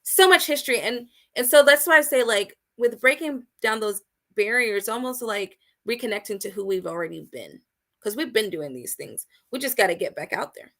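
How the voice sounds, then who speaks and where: A woman guest in a casual interview, speaking with enthusiasm and swinging between loud emphatic bursts and quieter asides. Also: fairly high pitch, animated, moderate pace. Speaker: guest, outdoor community organizer.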